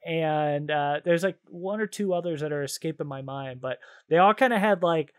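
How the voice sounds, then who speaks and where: A man with a slightly high voice talks as a podcast co-host. He rambles enthusiastically in loose slang, as in a casual chat about games and shows. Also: normal speed.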